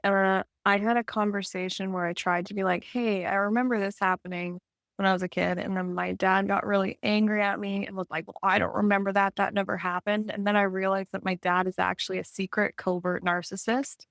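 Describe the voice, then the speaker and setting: A woman from Canada speaks in an informal, self-reflective way at a medium pitch. She rambles candidly, peppering her sentences with "like," and is recorded close and dry. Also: level tone, brisk, creaky, a bit bright, dry recording. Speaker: client in an energy healing session. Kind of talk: candid, casual therapeutic conversation.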